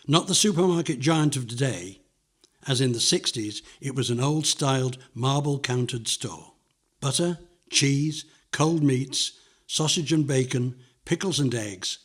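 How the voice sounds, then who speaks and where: Older male narrator, husky voice at middling pitch, measured nostalgic memoir read. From London, England.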